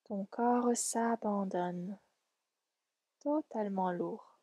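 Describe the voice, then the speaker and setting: A woman leading a guided relaxation meditation in a soft, soothing, gentle and unhurried way. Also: medium pitch, breathy edge, dry recording, lo-fi sound. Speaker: yoga nidra guide.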